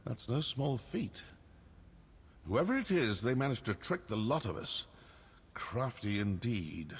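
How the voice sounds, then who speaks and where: An actor playing a sly, sardonic assassin in a fantasy game, theatrical, dry and condescending. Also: male voice, medium pitch, very animated, a little slow, slightly rough, exaggerated voice. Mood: wry, intrigued. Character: sly, sardonic male assassin of a secret guild. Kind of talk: scripted fantasy game acting. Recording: long reverb, faint noise.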